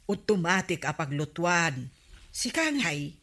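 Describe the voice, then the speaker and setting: A woman's voice: fairly low pitch, sing-song, takes it slowly, sharp tone, exaggerated voice. A woman with an Ilocano accent playing an overworked, grumbling village captain's wife in a radio comedy drama, exasperated and emphatic. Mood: conspiratorial.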